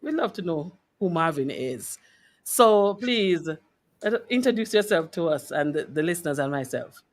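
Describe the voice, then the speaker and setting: Animated woman hosting a faith interview. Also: fairly low pitch, normal speed. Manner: encouraging, affirming, interjects. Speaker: radio show host.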